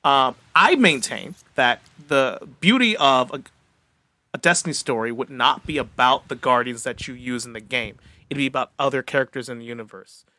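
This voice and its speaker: A man's voice: medium pitch, lively intonation, normal speed, sharp tone. A man co-hosting a podcast, explaining things casually in the easy talk of a gamer. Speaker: podcast co-host. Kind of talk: casual chat.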